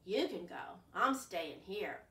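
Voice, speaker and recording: A woman voicing a great big male billy goat, low-voiced and confident. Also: sing-song, bright, forceful tone, heavily acted. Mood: grumbling. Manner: slow, low, sure of himself. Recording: roomy echo, distant mic.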